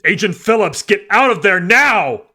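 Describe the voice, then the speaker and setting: A man voicing a desperate male agent who shouts and sobs in grief. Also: high-pitched, expressive, moderate pace, pitched above the performer's own voice, exaggerated voice. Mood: frantic shouting. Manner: frantic shouting, breaking into sobs.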